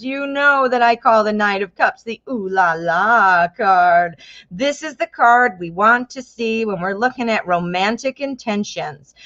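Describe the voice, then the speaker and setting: A woman's voice: mid-range voice, fairly bright tone. An American woman reads tarot cards live for her viewers, chatting easily and dropping endearments as she goes. She bursts into exclamations when a card surprises her. The stream audio is a little dull and lo-fi. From United States.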